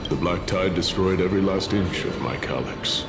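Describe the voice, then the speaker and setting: A man's voice: low-pitched, normal speed. An actor voicing a solemn male warrior spirit in a video game. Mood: regretful. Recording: echoing voice under loud music.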